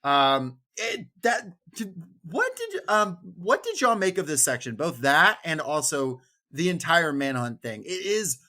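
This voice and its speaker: Male podcast host, lively swooping intonation, bright punchy tone, informal book discussion. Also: a little high, a little slow, few pauses.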